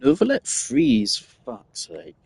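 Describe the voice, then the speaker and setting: A man's voice: a little high, wildly swooping pitch, takes it slowly, gravelly, slightly rough. A man giving casual, analytical gaming commentary, thinking aloud in a rambling way as a streamer.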